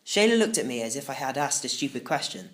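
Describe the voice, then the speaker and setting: A man reading a children's audiobook in the first person, with expressive, emphatic scripted storytelling. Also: mid-range voice, quick pace. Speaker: audiobook narrator.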